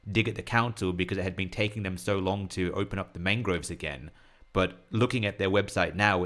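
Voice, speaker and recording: Low-voiced male horror storyteller. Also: a bit bright. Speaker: author and narrator of an audio horror story podcast. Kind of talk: scripted horror story narration.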